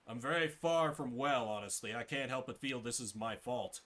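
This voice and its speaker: A man's voice: medium pitch, normal speed, fairly bright tone, natural voice. A man voicing an earnest, troubled duke in a fantasy game. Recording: game music behind the voice.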